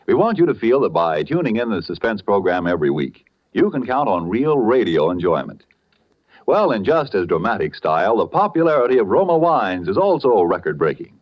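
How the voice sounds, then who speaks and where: A man announcing a sponsor's message in a scripted 1940s radio advertising style. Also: on the low side. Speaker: commercial announcer. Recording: muffled vintage radio recording.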